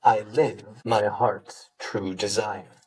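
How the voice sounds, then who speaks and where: A man teaching yoga, leading a guided meditation with gentle, unhurried instructions and pauses. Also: fairly low pitch, steady tone, far from the mic.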